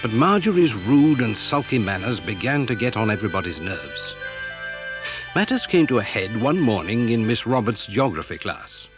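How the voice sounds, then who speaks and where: A man's voice: fairly low pitch, sing-song, moderate pace, music in the background. A man reading scripted narration for a children's audio drama, telling the story.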